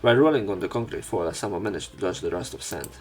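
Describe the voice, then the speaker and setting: A man's voice: deep voice, lively intonation, racing. A man doing a let's play, reading a visual novel aloud as dramatic narration mixed with casual commentary. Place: voice-over on game footage. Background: faint game music.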